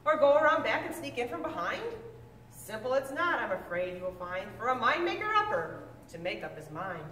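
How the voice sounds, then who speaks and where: A woman reading a picture book aloud with lively, expressive delivery, now and then stumbling and correcting herself. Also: a little fast, slight background noise. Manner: expressive storybook reading with big pitch swings. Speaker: reader. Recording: echoey room, mic at a distance.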